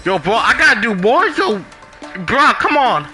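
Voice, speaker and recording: A man's voice: very high-pitched, very animated, unhurried. A man live-streaming a video game, reacting casually in slangy, profane, exclamatory talk. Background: game music.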